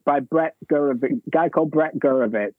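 A man's voice: on the high side, a little fast, no echo. Enthusiastic man on a tinny line. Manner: enthusiastic, stumbles over repeated words. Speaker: author and music journalist guest. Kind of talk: casual music chat. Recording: tinny phone-like remote line.

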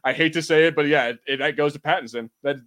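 Fast-talking, higher-voiced man. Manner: fast, animated, swears casually. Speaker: podcast host. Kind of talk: casual film-watching podcast chat.

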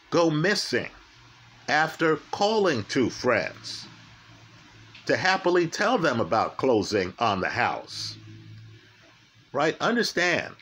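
Guy breaking down a crime case real slow, kinda high voice, keeps saying right?. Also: fairly bright tone. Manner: slow, emphatic, repeats key facts and asks "right?". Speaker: true crime commentator. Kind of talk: true crime case commentary.

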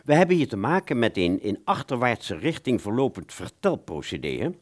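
A man's voice: medium pitch, big pitch swings, a little fast. An actor playing a courteous, bookish and slightly pompous male bookseller in a radio play, explaining things with some hesitation. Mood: earnestly explaining.

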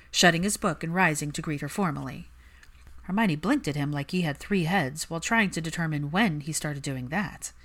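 A woman's voice: low-pitched, a bit bright. A woman reading fan fiction aloud as a scripted story narration.

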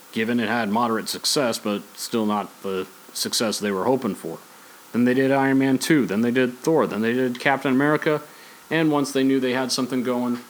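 A man's voice: medium pitch, rough recording. A man hosting a podcast, talking casually in a rambling, opinionated, conversational way. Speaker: podcast host. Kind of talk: casual opinionated podcast talk on sports and movies.

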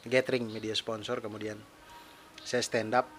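A man's voice: lowish voice, flat delivery, moderate pace, fairly bright tone. A young adult man from eastern Indonesia with a mixed eastern Indonesian accent, hosting his own podcast in a chatty, self-deprecating solo monologue. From East Nusa Tenggara, Indonesia. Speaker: podcast host, stand-up comedian. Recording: faint hiss.

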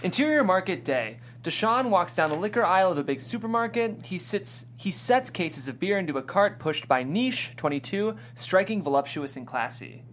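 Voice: mid-range voice, lively intonation, normal speed, hardly any pauses, a bit bright, a little hiss or hum. A narrator reading out stage directions in a scripted style at a table read.

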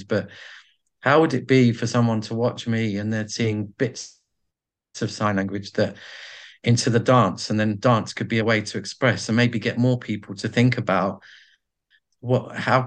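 A man with a fairly low voice talks in an unhurried, reflective way. He pauses often, restarts his sentences and searches for the right words. The tone is that of an earnest guest in an interview. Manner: thoughtful, halting, many restarts and fillers. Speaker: podcast guest, artist and carer.

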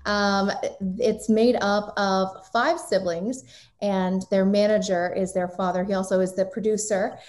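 A woman hosting a casual interview, chatty and quick to laugh, with some filler words. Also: mid-range voice, fairly even intonation, a little mellow. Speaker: interview host.

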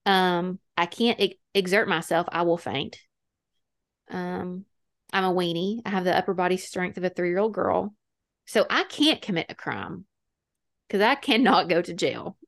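A woman's voice: fairly low pitch, measured pace. Woman in her 30s or 40s just chatting thru a true crime story, kinda wry, pokes fun at herself. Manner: self-deprecating, wry. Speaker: podcast host.

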